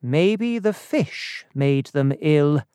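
Audiobook role: concerned male doctor, acted out by the narrator, formal, deliberate pace, dry close recording. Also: medium pitch, animated, mellow, clean tone, dry recording.

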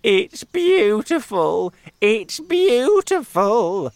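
A reader voicing a greedy, loud, bossy old aunt in a storybook reading, bellowing in slow drawn-out words. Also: mid-range voice, wildly swooping pitch, dry recording, voice pushed up, exaggerated voice.

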